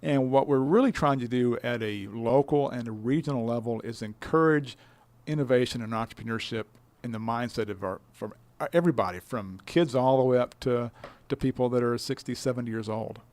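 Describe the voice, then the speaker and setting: A man's voice: medium pitch, a little fast, a little mellow, dry recording. A man speaking conversationally as an interviewed director, explaining things in a folksy, stop-and-start way. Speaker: business incubator director being interviewed.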